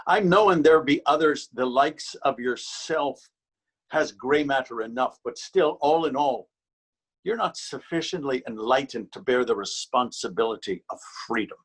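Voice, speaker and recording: A male stage actor as a threatening policeman with a medium-pitched voice. He speaks sternly and proudly, as an officer who will not stand being insulted. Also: normal speed, slightly rough, natural voice. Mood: condescending. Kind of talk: readers theater stage acting.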